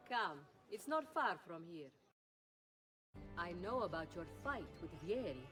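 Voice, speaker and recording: A woman playing a poised, knowing, gently firm middle-aged Italian mother in a video game cutscene. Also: fairly low pitch, very animated, a little slow, faint music behind.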